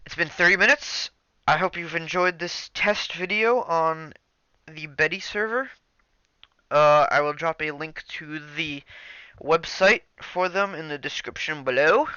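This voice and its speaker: A man's voice: on the high side, unhurried, sharp tone, clear, resonant tone, no room sound, highs cut off. A young man casually talking over his gameplay into a headset mic, rambling with many ums and restarts. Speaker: gaming channel host.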